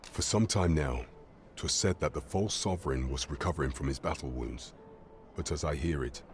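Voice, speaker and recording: An actor playing a grave, courtly and loyal royal official in a fantasy game, speaking in formal, measured, archaic phrasing. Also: male voice, darkish tone, slight background noise. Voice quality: very deep. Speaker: actor voicing a royal advisor. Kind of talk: scripted fantasy game dialogue.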